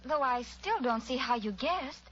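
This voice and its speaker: Young female understudy, high-pitched, earnest old-time radio acting, dull recording. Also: lively intonation, a little slow, fairly bright tone, a little hiss or hum. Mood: grateful. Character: young woman opera understudy, grateful and puzzled.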